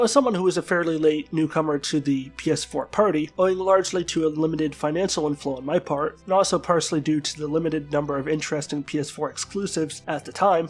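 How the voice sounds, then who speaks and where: A man with a stuffed-up, congested voice, sick with a flu-like bug, narrating a scripted game review in formal, wordy phrasing. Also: fairly high pitch. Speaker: video game reviewer and channel host.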